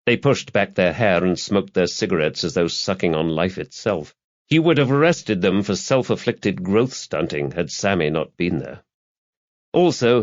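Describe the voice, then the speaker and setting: Low-pitched, expressive male audiobook narrator. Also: highs cut off. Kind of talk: dramatic scripted narration of a crime novel.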